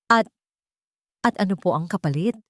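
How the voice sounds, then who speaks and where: A woman's voice: on the low side, lively intonation, normal speed, dry recording, studio quality, lightly acted. A woman with a Filipino accent voicing a humble, desperate young mother in a romance story, polite and hesitant. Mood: bewildered, hesitant.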